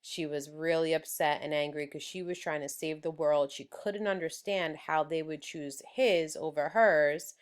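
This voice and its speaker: A woman reading a children's story aloud in a deliberate, expressive storyteller's manner. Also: sits low, clear, resonant tone. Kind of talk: children's book read-aloud.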